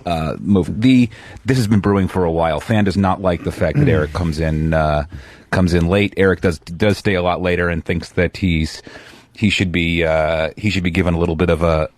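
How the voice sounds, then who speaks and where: A man with a low voice speaks as an executive producer on radio. He mediates a staff dispute in a hesitant, hedging way, picking through his explanation. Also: normal speed, dry recording.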